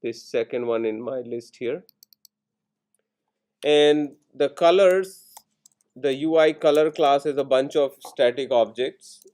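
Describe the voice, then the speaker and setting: A man lecturing in programming, explaining each step in short instructional phrases with pauses between them. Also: mid-range voice, darkish tone. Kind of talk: step-by-step classroom coding tutorial.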